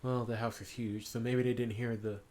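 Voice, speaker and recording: A man's voice: medium pitch, level tone, normal speed, darkish tone, natural voice. A man voicing a laid-back, grumbling male detective in a visual novel, informal and wry.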